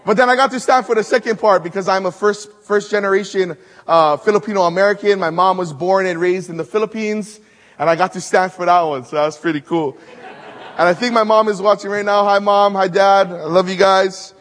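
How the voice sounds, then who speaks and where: High-voiced preacher from Maui in a hall. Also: male voice, steady tone, fairly quick, slight background noise. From Hawaii (Maui). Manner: emphatic, swings between loud rising build-ups and hushed low asides. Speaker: guest preacher. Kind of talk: conference sermon with storytelling.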